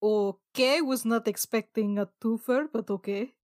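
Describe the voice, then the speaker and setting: A woman's voice: fairly high pitch, unhurried, darkish tone. A woman casually narrating her character's actions as a player in a tabletop game.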